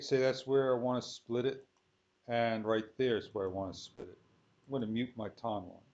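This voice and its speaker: A man giving an unscripted software tutorial, thinking aloud in short, halting phrases as he works. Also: medium pitch. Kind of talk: unscripted screen-recorded tutorial. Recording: dull lo-fi sound.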